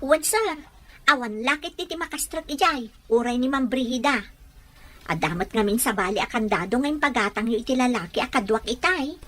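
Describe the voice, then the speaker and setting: A woman's voice: a little high, moderate pace, pushed and bright. A woman acting a deferential, anxious household servant in an Ilocano audio drama.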